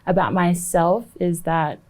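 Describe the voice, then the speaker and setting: A woman's voice: fairly low pitch, steady tone, measured pace, darkish tone. A young woman from Australia introducing herself on a panel as a college athlete. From Sydney, Australia. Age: young adult. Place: TV studio. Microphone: lapel.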